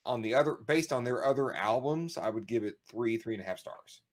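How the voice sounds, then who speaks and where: A man's voice: medium pitch, a little fast. A man co-hosting a casual show, talking in rambling bursts with lots of restarts. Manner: rambling, animated, frequent restarts and laughs. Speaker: podcast co-host. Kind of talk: casual chat.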